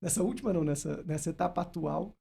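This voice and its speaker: A man's voice: a little high, fast, gravelly, warm tone, slightly rough. A Brazilian man hosting a podcast, telling stories and putting questions in a casual, reflective way. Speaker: podcast host. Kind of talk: casual conversational interview.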